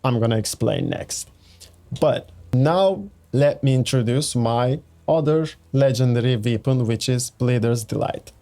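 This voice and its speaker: Unhurried gaming host, low-key. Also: male voice. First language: Turkish. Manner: relaxed, rambling, restarts sentences, repeats "let's say" and "you know what I mean". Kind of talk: casual game build walkthrough.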